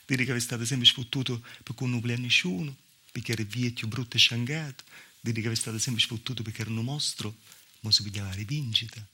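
A man's voice: mid-range voice, steady tone, fast talker, bright, forceful tone, breathy edge. A male stage actor playing a weary, mistreated southern Italian village wife, telling the story in a colloquial, confiding monologue with a Calabrian accent. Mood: rueful recounting. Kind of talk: theatrical monologue in dialect.

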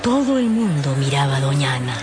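A woman's voice: a bass voice, sing-song, fairly quick, over music. A woman narrating a dramatized radio audiobook expressively, with conversational asides.

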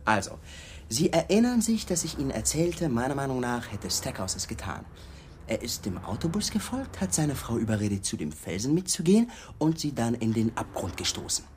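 An actor in a German radio crime drama playing a pushy police detective who is mock-polite, probing and slyly insinuating. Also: male voice, mid-range voice, big pitch swings, brisk, darkish tone, a little hiss or hum, close to an everyday voice. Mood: needling.

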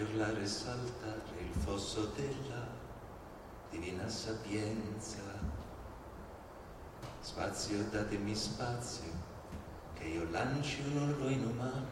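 A man reciting poetry theatrically, in a declamatory stage manner. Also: medium pitch. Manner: declamatory, repetitive. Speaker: stage performer and singer. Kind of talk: slow theatrical recitation of poetry, partly sung. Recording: distant reverberant hall, noisy.

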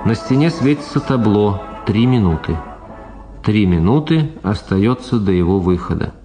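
Brief: male scene-setting narrator, scripted and measured, lower register, music underneath. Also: lively intonation, dark tone. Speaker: narrator, presenter.

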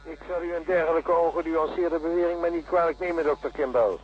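An actor playing a condescending, evasive professor in a radio play, talking in a pedantic, formal and patronising way. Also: male voice, on the high side, steady tone, fairly quick, husky, highs cut off. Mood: condescending, defensive. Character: smooth-tongued, condescending, evasive male professor of seismology.

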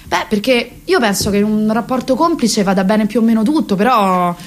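Actress as an impassioned, high-voiced rambling thirtyish woman. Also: moderate pace, close to an everyday voice. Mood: flustered, hesitant. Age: 30s. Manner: hesitant, self-correcting, mock-solemn and emphatic. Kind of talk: comic theatrical monologue for radio theatre.

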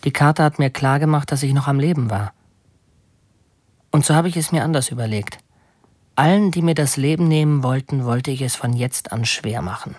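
A man's voice: mid-range voice, normal speed, a little mellow, no room sound, close to an everyday voice. An actor playing a defiant male prisoner in an intense, resolute radio drama monologue.

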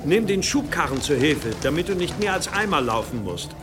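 An actor playing a commanding, pious abbot in a German audio drama, deliberate and insistent. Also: male voice, fairly high pitch, sing-song, over music. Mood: stern, patronising. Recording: clear ambient noise with birds.

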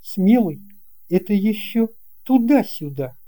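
Woman as a mellow, curious young storybook boy. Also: mid-range voice, lively intonation, takes it slowly, faint music behind, voice pushed up. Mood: surprised. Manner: questioning, wondering. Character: curious, puzzled young boy, sensitive and doubtful. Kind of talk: children's audio storybook dialogue.

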